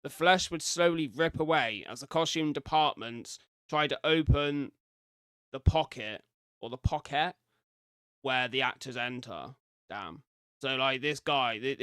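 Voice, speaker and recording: A man's voice: medium pitch, a little slow, bright, forceful tone, clean tone, dry recording, studio quality. A British man doing informal live reaction commentary as a streamer, reading captions aloud and adding chatty asides. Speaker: streamer reacting to horror videos.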